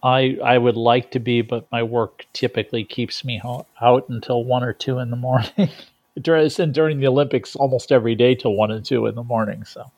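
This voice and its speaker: A man chats casually as a podcast co-host. His voice has a medium pitch and is recorded dry, with little trace of the room. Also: steady tone, fairly quick, a little mellow, no echo. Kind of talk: casual chat.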